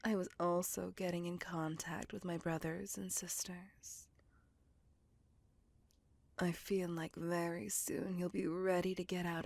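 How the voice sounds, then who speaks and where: A woman voicing a centuries-old female vampire in an intimate ASMR roleplay, possessive, doting and teasing, in a soft, breathy, coaxing whisper. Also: lowish voice, dry recording, full character voice.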